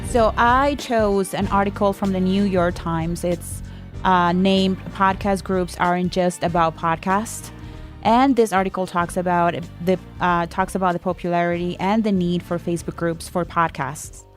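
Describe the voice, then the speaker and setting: A woman's voice: lowish voice, fairly even intonation, moderate pace, soft background music. A woman co-hosting a podcast, presenting news items in a casual, chatty style. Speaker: podcast co-host.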